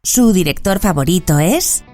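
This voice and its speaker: A woman's voice: lowish voice, expressive, moderate pace, a little mellow, soft background music, natural voice. A woman voicing a female automated movie-booth machine that reads out quiz prompts in a formal announcing manner. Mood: formal prompting. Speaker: quiz host voice.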